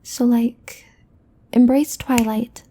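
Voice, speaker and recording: A woman's voice: a little high, steady tone, takes it slowly, dark tone, a little hiss or hum. A woman playing a possessive, teasing succubus demon in an ASMR roleplay, often dropping into a whisper. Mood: smug, possessive.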